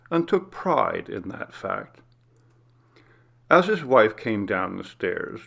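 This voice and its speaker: A man's voice: fairly low pitch, vocal fry, fairly bright tone. A man reading a scripted story aloud as its narrator.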